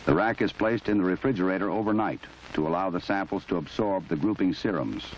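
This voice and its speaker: Guy narrating an old 70s training film, lowish voice, formal and precise, muffled dull sound w some noise. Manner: measured, precise, instructional. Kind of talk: formal scripted documentary narration.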